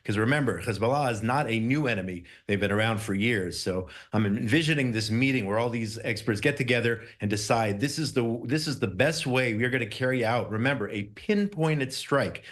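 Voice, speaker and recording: A man with a mid-pitched voice comments as a guest correspondent in a live news interview. He speaks emphatically and tends to repeat key words to drive them home. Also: brisk, hardly any pauses, fairly bright tone.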